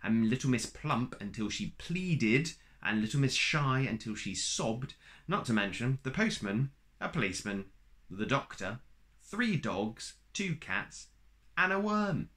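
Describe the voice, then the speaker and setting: A man reading a children's picture book aloud in a slow, playful storytime manner, adding little asides to listeners. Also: mid-range voice, very animated, fairly bright tone.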